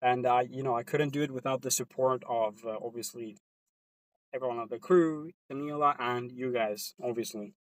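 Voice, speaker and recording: A man's voice: medium pitch, moderate pace, no echo, rough recording. A man from Sweden with a Swedish accent, chatting casually with viewers on a live stream from inside his car, laid-back and slangy.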